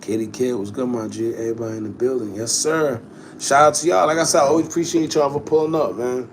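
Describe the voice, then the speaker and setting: A man's voice: mid-range voice, quick pace, a little hiss or hum. A man in his thirties hosting a casual livestream chat, talking in slangy, rambling street speech and answering viewer comments. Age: 30s. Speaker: host.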